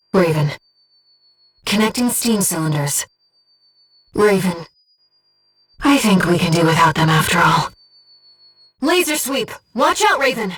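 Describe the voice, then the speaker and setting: A composed, earnest female mind-companion from a video game, guiding a pilot through missions. Her voice is medium in pitch and slightly breathy, with formal, measured phrasing. It sounds a little removed from the mic. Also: far from the mic. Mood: urgent, alert. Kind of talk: scripted video game voice acting, mission briefing.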